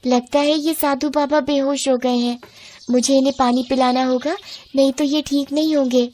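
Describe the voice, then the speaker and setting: A woman's voice: high-pitched, steady tone, normal speed, a little mellow, slight background noise, pitched above the performer's own voice, lightly acted. A woman voicing a poor, earnest young fruit grower with a pleading manner in a moral bedtime story. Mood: concerned. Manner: pleading, plaintive.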